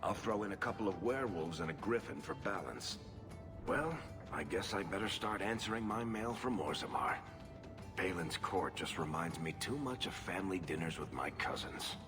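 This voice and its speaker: Affable dwarf storyteller for a game, deep voice, dry joking delivery, hall reverb. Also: male voice, lively intonation, normal speed. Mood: wry, touched. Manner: wry, joking. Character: wry, affable male dwarf rogue and storyteller. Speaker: video game voice actor. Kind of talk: bantering game dialogue. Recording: long hall reverb, music behind.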